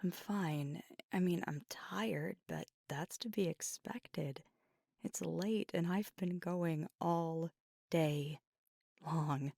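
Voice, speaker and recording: Husky, slow-speaking werewolf girlfriend, acted by a woman. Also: lowish voice, no echo, clean studio sound, heavily acted. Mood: weary, defensive. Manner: hushed, halting, sighing, often slipping into whisper. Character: fiery, proud, weary young werewolf girlfriend confiding at night. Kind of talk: intimate ASMR roleplay audio drama.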